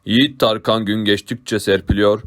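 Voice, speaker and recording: Man as solemn, low-voiced epic narrator. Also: fairly even intonation, background noise, lightly acted. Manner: measured dramatic phrasing. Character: solemn epic storyteller of a legend. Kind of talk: scripted dramatic narration. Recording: clearly audible music behind narration.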